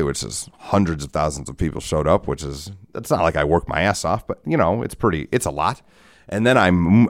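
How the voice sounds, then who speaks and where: A man in his forties hosting a solo podcast, hoarse from a head cold, rambling and cursing with lots of restarts. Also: low voice. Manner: rambling, profane, self-mocking, frequent restarts. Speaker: podcast host. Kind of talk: solo casual podcast talk.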